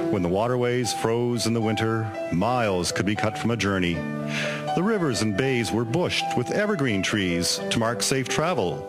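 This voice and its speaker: A man narrating a historical tale in a measured, solemn, scripted storytelling manner. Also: mid-range voice. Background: music with guitar.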